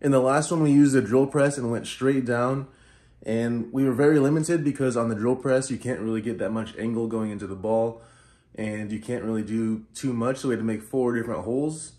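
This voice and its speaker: Guy in his 30s doing a casual vlog in his living room, regular pitch, pretty matter of fact. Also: steady tone, a little fast, darkish tone. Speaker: video host.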